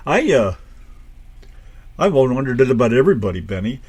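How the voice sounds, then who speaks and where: An American man co-hosting a radio show, chatting casually and joking, his voice jumping high and low. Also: big pitch swings, moderate pace, breathy edge. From United States. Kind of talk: casual banter.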